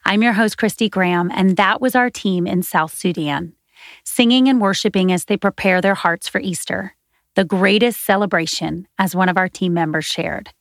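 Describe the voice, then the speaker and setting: A woman hosts a faith podcast, her voice gravelly with a little vocal fry. She reads her script earnestly and steadily, close and dry with no room sound. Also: medium pitch, normal speed, no room sound. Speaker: podcast host.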